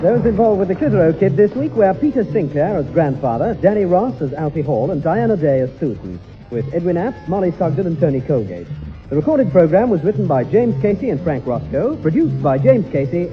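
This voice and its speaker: A man's voice: high voice, very animated, brisk, lo-fi sound. A man announcing the scripted closing credits of a 1960s radio show. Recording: band-limited old radio recording with music. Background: music.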